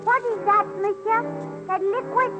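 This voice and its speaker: A woman's voice: very high-pitched, steady tone, normal speed, over loud music. A woman playing an eager, curious young schoolboy in a radio drama. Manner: wide-eyed, questioning.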